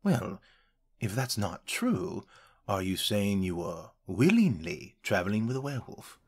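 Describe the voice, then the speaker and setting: A man voices a contemptuous elven soldier in a fantasy audio drama. He talks slowly in a low, raspy voice with animated rises and falls. His delivery is formal, pompous and sneering. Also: exaggerated voice. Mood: suspicious. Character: pompous, contemptuous male High Elf royal soldier.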